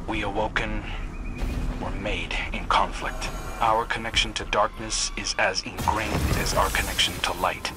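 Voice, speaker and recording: An actor playing an earnest, loyal young hunter in a video game, speaking with quiet confidence. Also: male voice, lowish voice, fairly even intonation, unhurried, close to an everyday voice. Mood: earnest, reflective. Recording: game music and effects behind.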